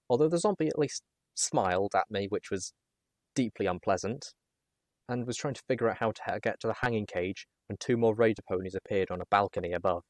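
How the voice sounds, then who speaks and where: A man reading a first-person adventure story aloud as narrator, in an expressive, dramatic manner. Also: lowish voice, dry recording, clean studio sound.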